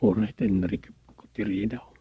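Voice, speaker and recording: A man's voice: on the low side, steady tone, a little fast, gravelly, warm tone, slightly rough, no echo, exaggerated voice. A man with an Ilocano Filipino accent acting as an evasive, scheming village husband in a comic radio serial. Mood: sly muttering.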